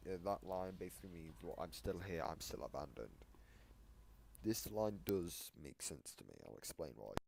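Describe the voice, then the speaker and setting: Deep, gravelly man over background noise. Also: animated, normal speed. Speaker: co-commentator. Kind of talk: casual reaction commentary. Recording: clearly noisy background on voice recording.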